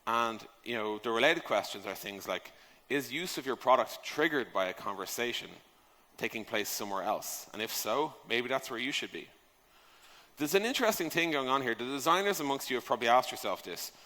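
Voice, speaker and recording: A man's voice: medium pitch, lively intonation, fairly quick, sharp tone. A man giving an informal conference talk as a speaker, conversational and emphatic in delivery. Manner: emphatic, conversational, rhetorical questions, casual swearing. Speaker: conference speaker on software product design. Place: large conference hall, on stage. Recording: echoey hall, long reverb.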